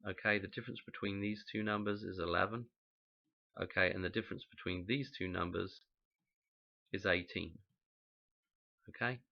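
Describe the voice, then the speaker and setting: A man, croaky from a cold, rambling through a casual explanation and often checking in with "okay?". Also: lowish voice, muffled recording. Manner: rambling, musing, frequent "okay?" check-ins. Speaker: channel host explaining number codes.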